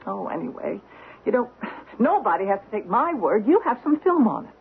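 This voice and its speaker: Excitable young American wife, animated delivery. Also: female voice, medium pitch, fairly quick, slightly rough, heavily acted. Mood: insistent. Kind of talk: old-time radio drama acting. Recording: tinny, band-limited vintage radio, hissy.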